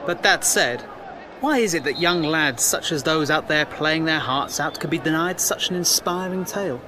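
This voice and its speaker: A man's voice: fairly high pitch, moderate pace, noisy background, natural voice. An actor playing an earnest, questioning First World War newspaperman, well-spoken, formal and wry, in an audio drama. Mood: earnest, probing. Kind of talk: period audio drama acting.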